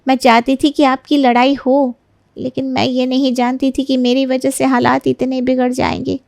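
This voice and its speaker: A woman acts out a meddling neighbour for a dramatized story. She is sly and gossipy, talking persuasively in a fairly high voice, recorded dry and close. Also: flat delivery, normal speed, creaky, darkish tone, no room sound. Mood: remorseful. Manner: insinuating, persuasive. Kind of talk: Hindi audio story character acting.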